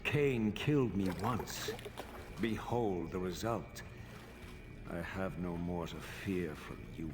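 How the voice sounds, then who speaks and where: An actor playing an undead vampire wraith in a video game, proud, bitter and vengeful, speaking with brooding, scornful eloquence. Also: male voice, sits low, takes it slowly, very noisy. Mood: scornful defiance. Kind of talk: dramatic game voice acting.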